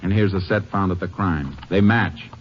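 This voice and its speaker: A man acts out a steady Texas Ranger who fires off short, clipped questions. His voice sits very low. The recording is dull, with a faint hiss like an old radio broadcast. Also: normal speed, natural voice. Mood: pressing. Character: steady male Texas Ranger interrogator and narrator. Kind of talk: 1950s radio drama acting.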